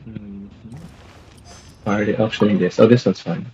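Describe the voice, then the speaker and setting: A man's voice: lowish voice, fairly even intonation, normal speed, dark tone, dull audio, a little hiss or hum. A man casually reading video game text aloud as the player.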